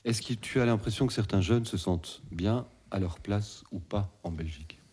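Deep husky male interviewer. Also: normal speed, a little mellow. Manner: probing, rephrases questions. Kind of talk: interview questions.

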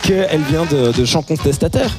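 A playful young man co-hosts a relaxed radio show, tripping over his words and laughing as he goes. His voice sits a little high, and music plays clearly behind him. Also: normal speed. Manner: playful, stumbling, laughs often. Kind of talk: casual on-air chat. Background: music playing.

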